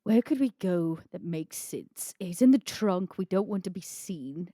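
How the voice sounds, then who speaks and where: A woman's voice: on the low side, expressive, measured pace, mellow, no room sound, clean studio sound. A woman playing a tabletop roleplaying game, tentatively thinking aloud in casual improvised chat.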